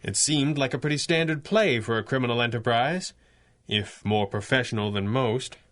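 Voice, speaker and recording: An actor playing a stern, analytical masked superhero, speaking gravely in the style of a 1930s radio serial. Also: male voice, medium pitch, normal speed, fairly bright tone, close to an everyday voice. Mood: grave, pondering. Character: stern, commanding masked superhero crime-fighter, calmly analytical.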